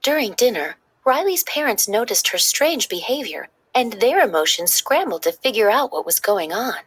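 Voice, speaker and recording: A woman reading a children's story aloud in expressive, scripted narration. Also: a little high, moderate pace, breathy edge, dry recording.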